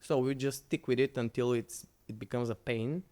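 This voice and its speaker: Male presenter, English, relaxed, conversational, stumbles and restarts mid-sentence. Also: medium pitch, moderate pace. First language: Bulgarian. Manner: loose, conversational, with frequent restarts. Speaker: conference speaker. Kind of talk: conference presentation with Q&A.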